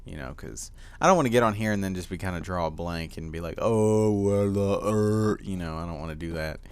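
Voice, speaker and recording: A teenage boy with a deep voice giving casual gameplay commentary, rambling with lots of fillers and easy laughs. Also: a little fast, smooth. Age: teens. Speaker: gaming commentator.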